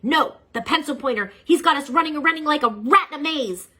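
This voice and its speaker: A woman's voice: high voice, fairly quick, pushed and bright, pitched above the performer's own voice. A woman voicing a determined male hamster superhero, talking earnestly and urgently in a read-aloud storybook.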